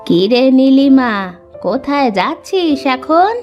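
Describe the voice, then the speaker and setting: A woman voicing an affectionate, gently scolding elderly village grandmother in a cartoon story. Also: sits high, sing-song, slow, muffled recording, soft background music, exaggerated voice. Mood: mock scolding. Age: older.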